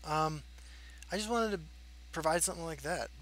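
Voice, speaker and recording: Bright-voiced man chatting casually. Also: a little high, animated, moderate pace, a little hiss or hum, poor audio. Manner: rambling, frank, many fillers. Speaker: channel host. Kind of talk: casual Q&A chat.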